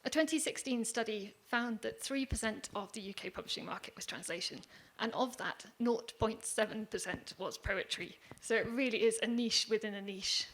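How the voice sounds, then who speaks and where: A woman presenting at a panel with slides, speaking in a measured, self-deprecating way. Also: a little high, a bit bright. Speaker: poetry organisation manager presenting. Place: conference hall.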